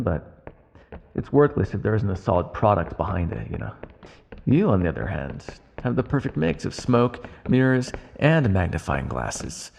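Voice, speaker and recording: Man playing a breathy, gravelly, teasing male vampire. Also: on the low side, highs cut off, heavily acted. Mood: smooth, appreciative. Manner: teasing, drawling asides with soft sighs and chuckles. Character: teasing, flirtatious male vampire, smugly predatory yet caretaking. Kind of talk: ASMR roleplay, intimate second-person acting.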